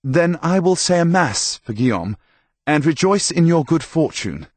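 Man acting an earnest priest, raised in pitch. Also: very animated, no echo. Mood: courteous, entreating. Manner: formal, measured, entreating. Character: earnest, courteous medieval male priest pleading for aid.

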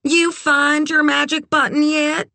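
A woman's voice: sits high, flat delivery, measured pace, gravelly, bright, forceful tone, no room sound, pitched above the performer's own voice, exaggerated voice. A woman voicing a brassy, worldly, amused Texan woman in an audiobook, sugary and frank, full of teasing endearments. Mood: bawdy, coaxing. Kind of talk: audiobook character dialogue.

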